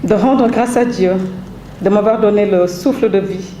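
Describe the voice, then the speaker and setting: A woman with a slightly stuffy voice from a cold delivers a formal, measured thank-you speech, pausing on fillers. Her voice rings around a roomy event hall. A steady hiss of background noise runs under it. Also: mid-range voice. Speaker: author presenting her book.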